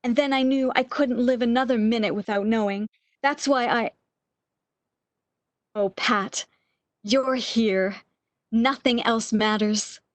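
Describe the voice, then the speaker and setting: A woman's voice: fairly high pitch, slow, long pauses, dry recording. A woman playing a bedridden, lovesick woman in a radio romance, with breathless, emotional, old-fashioned melodramatic delivery. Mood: emotional, relieved. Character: bedridden lovesick woman, wistful, eager, longing for lost love.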